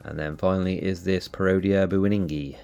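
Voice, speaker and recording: A man hosting a cactus channel, sounding nasal from allergies, calmly explaining as he narrates. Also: deep voice, fairly quick, vocal fry, soft background music. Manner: relaxed, explanatory. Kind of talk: casual voice-over narration.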